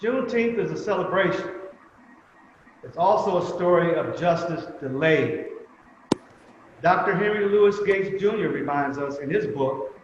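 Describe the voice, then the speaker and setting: A man giving prepared remarks as a museum representative at a commemoration, speaking in a measured, emphatic way with deliberate pauses. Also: on the high side, expressive. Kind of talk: prepared speech at a virtual commemoration. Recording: very echoey reverberant room, muffled, faint noise.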